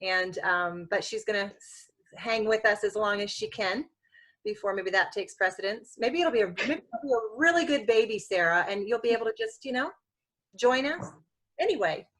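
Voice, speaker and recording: A woman in her forties hosts a casual podcast chat with a crisp, bright voice. She talks in a relaxed, chatty way, laughing easily between thoughts. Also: medium pitch, normal speed. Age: 40s. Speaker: podcast host.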